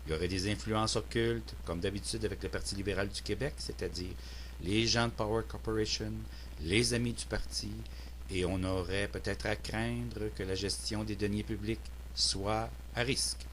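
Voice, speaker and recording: A man's voice: fairly low pitch, steady tone, moderate pace, a bit bright, slight background noise, rough recording. Québécois man delivering a formal political address. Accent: Québécois French. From Quebec, Canada. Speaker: citizen political commentator. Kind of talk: prepared political opinion monologue.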